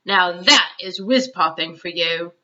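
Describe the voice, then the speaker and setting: A woman voicing a big, kindly, excitable old male giant from a storybook, with mangled grammar and nonsense words. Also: on the low side, a little slow, muffled recording. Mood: gleeful.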